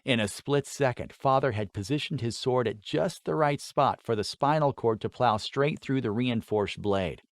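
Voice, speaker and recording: A man's voice: medium pitch, normal speed, hardly any pauses, no echo. A man reading scripted fantasy fiction as a dramatic audiobook narrator.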